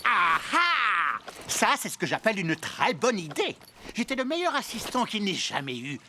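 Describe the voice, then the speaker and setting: A man voicing a boastful, whiny henchman in a cartoon, excitable and petulant. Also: high-pitched, moderate pace, slight background noise, exaggerated voice. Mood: smug. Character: boastful, whiny male villain's henchman craving to be boss. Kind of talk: animated cartoon dub.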